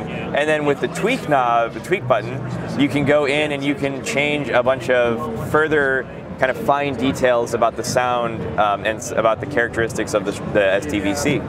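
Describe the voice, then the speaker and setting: A man giving an informal, slightly hesitant product demonstration at a trade show booth, correcting himself as he goes. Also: mid-range voice. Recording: loud synth music and trade-show bustle.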